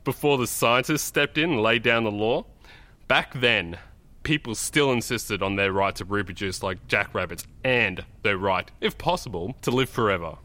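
A man's voice: fairly low pitch, normal speed, bright, forceful tone. A man playing a smug, very old doctor in an audio drama, lecturing in a pompous, patronising and emphatic way. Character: smug two-hundred-year-old male doctor, lecturing and condescending.

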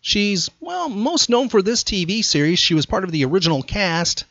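A man's voice: high voice, very animated, moderate pace, sharp tone, no room sound, dull audio. A man hosting a podcast trivia quiz, putting questions to a contestant. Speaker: podcast host and quizmaster.

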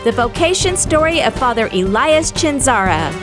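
A woman's voice: sits high, very animated, moderate pace. A woman radio announcer reading a polished scripted introduction to the show. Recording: radio intro over loud music.

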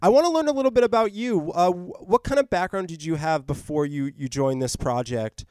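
A man's voice: high voice, expressive, fairly quick, a little mellow, no room sound, studio quality. A man co-hosting a podcast and asking interview questions, friendly, with short hesitations. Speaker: podcast co-host.

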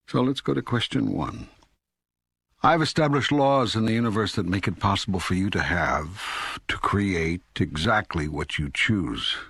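An older man playing God as a calm, patient, authoritative teacher in an audiobook, speaking in a measured, deliberate way with a gravelly, husky voice. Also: deep voice, no room sound, clean studio sound, full character voice. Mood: encouraging. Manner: measured, deliberate, emphatic phrasing with pauses. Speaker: audiobook narrator. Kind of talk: scripted audiobook dialogue reading.

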